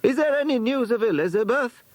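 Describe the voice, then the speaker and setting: An anxious old British grandmother calls out in a children's storybook, voiced by a man. The voice is pitched high and wavers up and down with fretful urgency. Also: expressive, brisk, a little mellow, no echo. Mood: anxious, calling. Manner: fretful, calling out.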